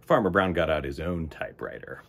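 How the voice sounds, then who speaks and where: A man reading a children's storybook aloud as an expressive narrator. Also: rumbling low, brisk, a little hiss or hum.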